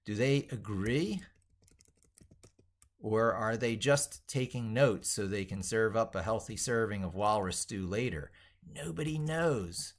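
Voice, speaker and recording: A man's voice: lowish voice, lively intonation, unhurried. A middle-aged man reading his own essay aloud as he works on it, in a theatrical, wordy, emphatic way. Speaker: tech educator and video host.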